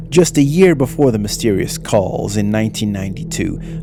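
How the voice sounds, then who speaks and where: Low-voiced man narrating over music. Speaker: narrator of a mystery documentary. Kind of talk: scripted narration.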